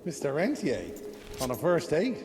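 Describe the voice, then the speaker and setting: A man's voice: on the high side, big pitch swings, normal speed, slight background noise. A man playing a fussy, haughty captive vampire illusionist in a game cutscene, prim and theatrical. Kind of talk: dramatic game cutscene acting. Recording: very loud music behind voice.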